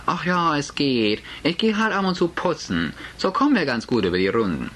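A man's voice: fairly high pitch, sing-song, normal speed, a bit bright, slight background noise, voice pushed up. A man voicing a nervous middle-aged housewife and mother in an audiobook, anxious and placating, covering with forced cheer.

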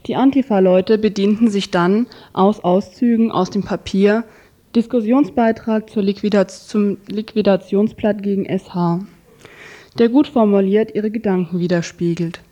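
Female presenter, mid-range, very mellow, scripted radio narration. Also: mid-range voice, fairly even intonation, moderate pace. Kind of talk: scripted narration introducing quotations.

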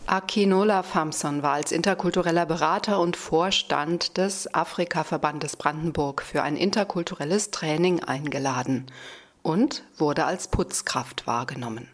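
A woman's voice: deep voice, normal speed. A woman reading scripted documentary narration as the narrator. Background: music.

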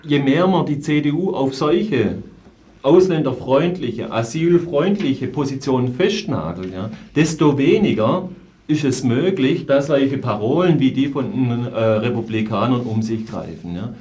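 A man with a mid-pitched voice talks as a guest in a political interview. He is earnest and speaks off the cuff, often halting to find the right word. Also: animated, dark tone. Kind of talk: spontaneous political discussion.

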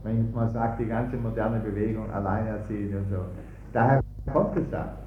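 Halting man in echoey room, loud music. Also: on the low side, steady tone. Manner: halting, repeats himself. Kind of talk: unscripted spoken remarks. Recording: roomy, dull recording under loud music.

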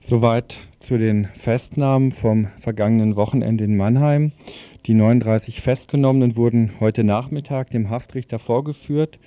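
A man's voice: medium pitch, moderate pace, no echo, muffled recording. A man presenting radio news in a matter-of-fact, informal way, occasionally stumbling over a word.

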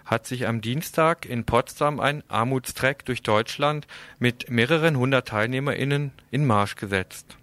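A man's voice: medium pitch, unhurried, fairly bright tone, dry recording. A man presenting live radio news and interviews in an informal, slightly hesitant manner.

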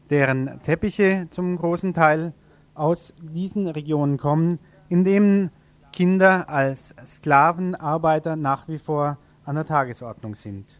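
Higher-voiced man hosting radio news, dry sound. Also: no echo. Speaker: radio news magazine host. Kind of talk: informal radio news presenting.

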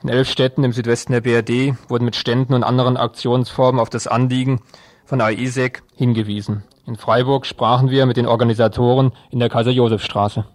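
A man's voice: medium pitch, flat delivery, brisk. A man presenting radio news as scripted narration.

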